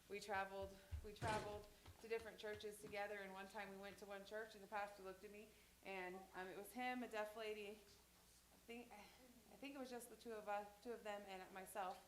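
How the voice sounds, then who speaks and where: A woman's voice: medium pitch, fairly even intonation, a little fast, slight background noise. A woman in her thirties teaching a sign language class at church, talking casually and rambling through stories with restarts. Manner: chatty, rambling with anecdotes and restarts. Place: church hall. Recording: echoey church room.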